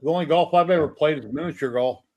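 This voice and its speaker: A man in his fifties chatting casually as a livestream guest and reacting quickly to the others. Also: fairly high pitch, a little mellow. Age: 50s. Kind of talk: casual chat.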